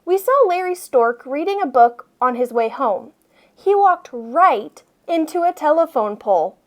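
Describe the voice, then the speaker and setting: A woman narrates a children's storybook aloud. Her voice is high and lively, swinging widely in pitch. She takes her time, stressing words expressively for young listeners. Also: measured pace. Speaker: storybook reader.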